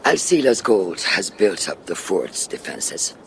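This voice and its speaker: Game role: a calm, calculating male conspirator. Gravelly bass, swooping intonation, deliberate formal phrasing, background ambience. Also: a little hiss or hum, full character voice. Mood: conspiratorial. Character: calm, secretive male conspirator-ally, measured and shrewd. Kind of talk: video game voice acting.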